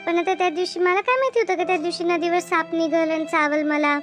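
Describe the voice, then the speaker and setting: A woman's voice: very high-pitched, a little fast, fairly bright tone, soft background music. A woman voicing a chatty, bossy yet easily hurt village woman in a cartoon comedy, with rustic, repetitive Marathi talk in a rural Maharashtra accent. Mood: hurt, explaining.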